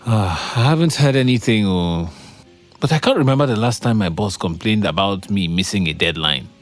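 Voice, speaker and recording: An actor with a Nigerian accent playing a lovesick, self-doubting young copywriter in a conversational, hesitant audio drama style. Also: male voice, lowish voice, lively intonation, normal speed, faint music behind, close to an everyday voice. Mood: wry, grumbling. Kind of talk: naturalistic audio drama acting.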